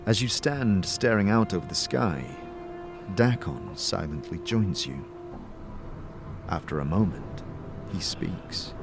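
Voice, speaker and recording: A man reading scripted fantasy narration in a deliberate, theatrical way. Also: low voice, animated. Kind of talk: dramatic scripted second-person fantasy narration. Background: music.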